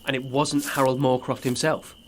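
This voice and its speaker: A man's voice: mid-range voice, level tone, moderate pace, a little hiss or hum, lightly acted. An actor playing an earnest, stubborn young man with a hesitant manner, in an audio drama. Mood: curious. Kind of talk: naturalistic audio drama acting.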